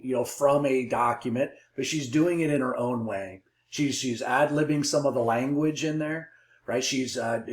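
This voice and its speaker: A man giving encouraging, affirming coaching commentary as a call trainer. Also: medium pitch. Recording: roomy, echoey sound.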